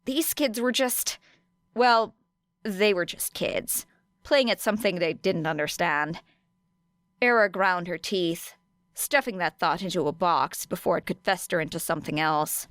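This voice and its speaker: A woman's voice: fairly low pitch, a little slow, fairly bright tone, dry recording. A woman reading a story aloud as a scripted third-person audiobook narration. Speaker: audiobook reader of fan fiction.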